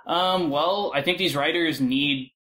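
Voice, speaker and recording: A man's voice: on the high side, slow, bright, forceful tone. A man speaking casually and bluntly as a panelist in a loose discussion.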